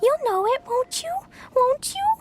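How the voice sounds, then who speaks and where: A woman's voice: very high-pitched, big pitch swings, measured pace, loud music behind the voice, exaggerated voice. A woman voicing a sweet, earnest, easily upset little girl in a video game, with a small childlike voice. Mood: eager, pleading. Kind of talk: video game character acting.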